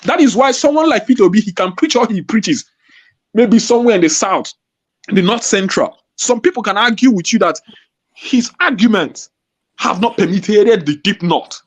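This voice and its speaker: A male panelist on an online discussion, arguing his point with drawn-out emphasis. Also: sits high, animated.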